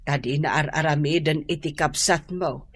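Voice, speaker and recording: A woman playing a doting middle-aged mother in a radio drama, affectionate and gently teasing. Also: low-pitched, steady tone, moderate pace, breathy edge. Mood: pleased, motherly.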